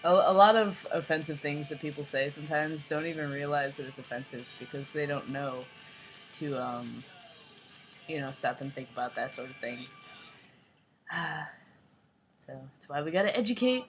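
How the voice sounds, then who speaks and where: A young man with a light, fairly high voice talking in a rambling, casual vlog, full of "like" and "you know". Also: normal speed, long pauses, music in the background, rough recording. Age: young adult. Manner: rambling, filler-heavy, lots of "like" and "you know". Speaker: vlogger.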